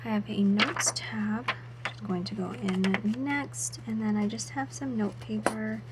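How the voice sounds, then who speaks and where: A woman vlogger narrating her planner setup in a soft, half-whispered voice, her throat still a little sore. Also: mid-range voice, steady tone, unhurried, background noise. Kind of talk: casual voice-over while setting up a planner.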